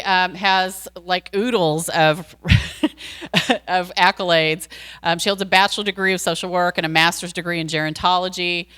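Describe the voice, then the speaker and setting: A woman's voice: on the low side, a bit bright, clear, resonant tone. An American woman hosting a seminar series, introducing speakers in a chatty way with many fillers and restarts, speaking into a handheld microphone in a community center room.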